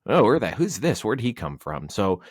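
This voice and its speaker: Deep-voiced man talking football analysis. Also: big pitch swings, normal speed, no room sound, studio quality. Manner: rambling, analytical, filler-heavy. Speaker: podcast guest analyst. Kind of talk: casual sports talk.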